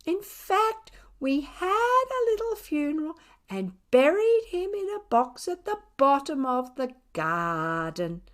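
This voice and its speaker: A chatty neighbour lady from a storybook as a woman reader acts her out, full of wonder. Her high voice swoops in sing-song, emphatic gossip, drawing the words out slowly. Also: sing-song, exaggerated voice. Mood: chatty, amazed. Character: chatty, wonder-struck woman neighbour telling strange news. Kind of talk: children's audiobook character voice.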